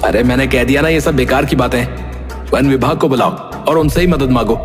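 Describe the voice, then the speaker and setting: A man's voice: medium pitch, a little fast, big-room sound, music in the background, close to an everyday voice. A man voicing a sensible, irritable skeptic from a village in a cartoon, brusque and dismissive. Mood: irritated, dismissive. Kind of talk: Hindi cartoon voice acting.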